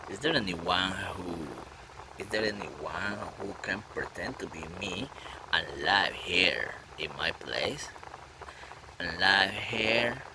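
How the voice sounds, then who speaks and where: A man practicing English lines out loud while painting, repeating phrases and translating them to Spanish. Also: low voice, expressive, takes it slowly. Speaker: language learner drawing while practicing. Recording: noisy home recording.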